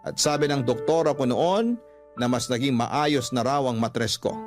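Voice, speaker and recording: A man's voice: mid-range voice, measured pace, soft background music. A man reading a first-person confessional letter as scripted radio-style storytelling.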